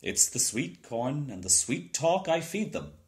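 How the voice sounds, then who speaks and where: A man's voice: medium pitch, sing-song, measured pace. A man voicing a friendly, sweet-talking village egg seller in a storybook. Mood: genial. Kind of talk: storybook character voice.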